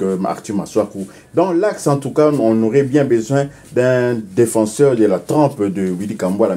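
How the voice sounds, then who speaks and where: A man's voice: fairly low pitch, warm tone. A man co-hosting a casual football talk show, chatting loosely and tagging phrases with a questioning interjection. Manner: conversational, ends phrases with "hein".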